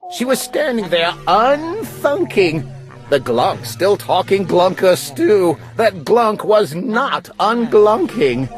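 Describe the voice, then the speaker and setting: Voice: medium pitch, sing-song, takes it slowly, faint music behind. A storyteller giving a dramatic narration of a rhyming tale for children.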